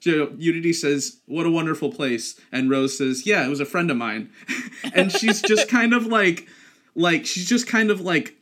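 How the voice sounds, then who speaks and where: A man with a slightly high voice chats with enthusiasm on a podcast. He talks with relish and slips into playful little voices as he acts out lines. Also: lively intonation, normal speed, a bit bright. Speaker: podcast co-host. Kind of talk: casual podcast chat.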